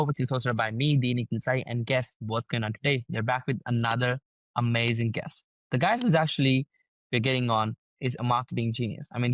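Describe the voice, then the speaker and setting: A man's voice: medium pitch, dry recording. Upbeat male podcast host. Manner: upbeat, hype-style, calls people guys and brother. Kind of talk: interview podcast hosting.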